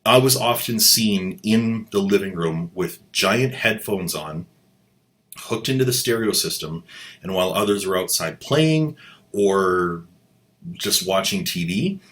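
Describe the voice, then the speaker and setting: Deep-voiced sardonic male host. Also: animated, measured pace, fairly bright tone. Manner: sardonic, mocking, playful asides. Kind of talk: sarcastic commentary.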